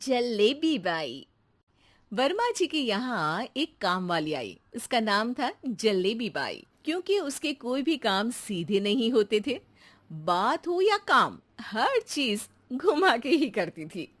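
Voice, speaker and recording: A woman's voice: on the high side, big pitch swings, slow pace, fairly bright tone. A woman narrating a scripted story as a storyteller.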